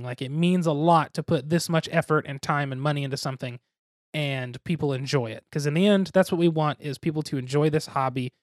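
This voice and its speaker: A man's voice: on the high side, dry recording, studio quality. A young man co-hosting a board game podcast, talking casually in a rambling, self-deprecating, jokey way. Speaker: podcast co-host.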